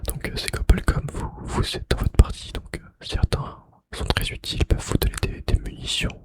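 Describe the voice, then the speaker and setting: A man speaking in a breathy, unvoiced whisper close to the mic, sharing gaming trivia ASMR-style and softly repeating key phrases. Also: moderate pace. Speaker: ASMR video creator. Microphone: close microphone.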